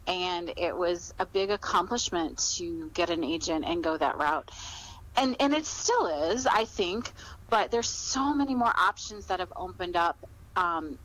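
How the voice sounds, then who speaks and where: A woman with an American accent speaking as an author in a podcast interview, explaining things in a measured, conversational way. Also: lowish voice, animated, a bit bright, a little hiss or hum, rough recording.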